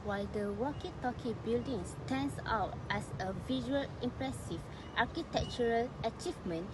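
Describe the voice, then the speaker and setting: Higher-voiced woman narrating amid clear street noise. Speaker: student documentary presenter. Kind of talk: scripted narration. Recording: outdoor recording with clear traffic noise. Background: urban outdoor ambience, vehicles.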